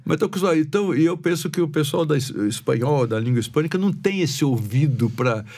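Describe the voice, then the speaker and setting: A man's voice: fairly high pitch, animated, quick pace, a little mellow. A Brazilian man, a pastor and podcast guest, telling stories in a rambling way with many restarts. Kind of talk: casual podcast conversation.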